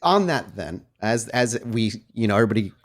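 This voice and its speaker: A man's voice: fairly low pitch, animated, a little fast. A man narrating and chatting with players as game master of a tabletop roleplay podcast. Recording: music under the voice.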